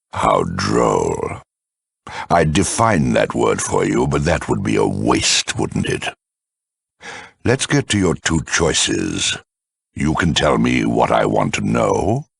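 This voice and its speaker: A huge mutant lieutenant, a video game villain with an extremely low, husky growl. He is eloquent, smug and zealous, swinging theatrically in pitch as he talks down to his listener. The sound is close and dry, with an air of polite menace. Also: dry recording, exaggerated voice. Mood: condescending, amused, then silky menace. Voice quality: very deep, gravelly, rasping. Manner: condescending, eloquent, slow and deliberate.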